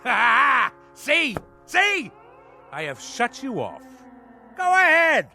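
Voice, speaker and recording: A man's voice: very high-pitched, huge pitch swings, slow, vocal fry, sharp tone, faint music behind, full character voice. An actor in a radio drama playing a blustering, obsessive man who craves noise, with a pompous, theatrical way of talking. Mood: gleefully smug.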